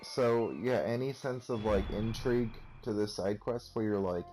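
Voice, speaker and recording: A man's voice: fairly low pitch, moderate pace, darkish tone, soft background music. A young man giving a sarcastic, profane rant while commenting on gameplay, recorded on a cheap, poor-quality microphone. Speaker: let's play commentator.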